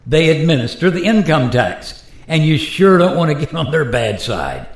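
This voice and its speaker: A man playing a resigned, plain-spoken modern taxpayer in a radio play, explaining things in a wry, conversational way. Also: on the high side. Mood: matter-of-fact warning. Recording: roomy echo.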